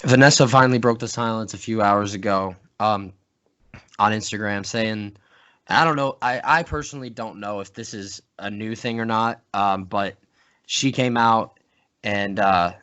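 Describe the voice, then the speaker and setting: A man's voice: fairly low pitch, normal speed, pushed and bright, clear, resonant tone, muffled recording. A man hosting a casual podcast chat, speaking earnestly in long, rambling sentences. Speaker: podcast host.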